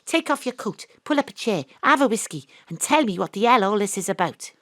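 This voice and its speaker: A woman's voice: medium pitch, expressive, fairly quick, a bit bright, no echo, clean studio sound, lightly acted. A woman playing a brash, wry private eye. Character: hard-drinking female private eye and former Welsh rugby star. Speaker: narrator and detective. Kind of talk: noir audio drama narration. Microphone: home studio mic.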